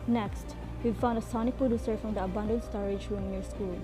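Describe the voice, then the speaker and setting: A woman's voice: mid-range voice, fairly even intonation, brisk, music in the background, lightly acted, rough recording. A woman playing a stern, businesslike investigator in a radio drama, formal and insistent. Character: stern businesslike female investigator questioning students.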